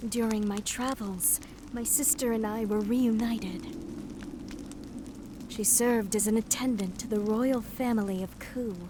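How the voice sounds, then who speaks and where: Game role: actress as wistful female lute player, humble-born storyteller, measured and theatrical, slow delivery, noisy background. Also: on the high side, fairly even intonation, natural voice. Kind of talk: video game voice acting.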